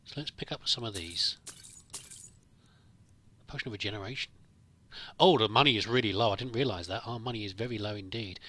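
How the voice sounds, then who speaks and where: Bright-voiced man doing game commentary. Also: medium pitch, no room sound. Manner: musing, thinking aloud. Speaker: gamer, let's play host. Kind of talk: casual improvised roleplay game commentary.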